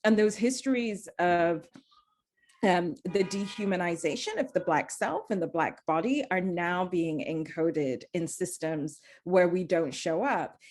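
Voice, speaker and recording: A woman's voice: lowish voice, measured pace, a little mellow. A woman from Zambia, speaking earnestly as a panelist and often restarting her sentences. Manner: earnest, searching, many restarts. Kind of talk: panel discussion.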